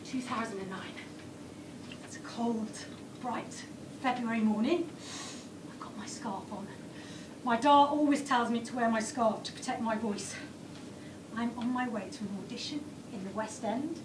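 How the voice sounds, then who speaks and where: Woman, stage storytelling, present tense, hushed, high voice, distant mic. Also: measured pace. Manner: present-tense narration, often low and hushed. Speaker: performer. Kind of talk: first-person theatrical storytelling. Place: theatre stage. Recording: distant, echoey room with background noise.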